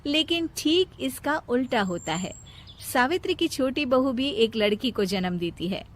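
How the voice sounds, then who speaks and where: A woman's voice: sits high, animated, measured pace. A woman narrating a scripted moral story.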